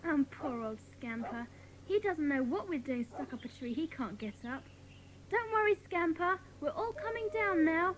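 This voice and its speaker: A dreamy, excitable little schoolgirl in a children's audio drama. Her voice is high and swoops expressively, with faint noise behind it. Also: normal speed, low-quality recording. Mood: chatty. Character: bright, dreamy, enthusiastic young schoolgirl.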